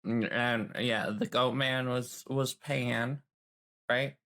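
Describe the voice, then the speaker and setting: A woman's voice: rumbling low, fairly even intonation, slow pace, fairly bright tone. A woman chatting casually as a player on a role-playing podcast, with a wry manner. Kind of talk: casual chat.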